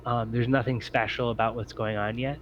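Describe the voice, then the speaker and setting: A man's voice: mid-range voice, fairly even intonation, brisk. A man acting as game master, speaking to players about a tabletop roleplaying game over a group voice call. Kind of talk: casual explanation and scene narration for a tabletop game. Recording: muffled lo-fi voice chat, clear noise.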